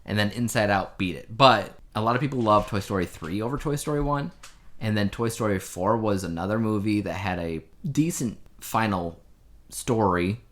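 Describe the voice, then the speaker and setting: A man's voice: lowish voice, moderate pace. A man co-hosting a podcast, chatting casually in a rambling, opinionated way about the news. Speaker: podcast co-host.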